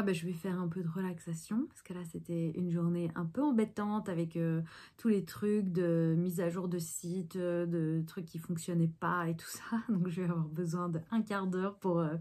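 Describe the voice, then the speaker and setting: A woman, chatting casually about her day in a rambling vlog with many fillers. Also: lowish voice. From Belgium. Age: 30s. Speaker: actress vlogger.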